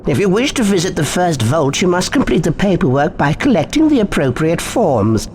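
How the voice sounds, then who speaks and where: Casting: officious game goblin clerk, high voice, very animated intonation, formal. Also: male voice, moderate pace, slight background noise. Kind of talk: video game character acting.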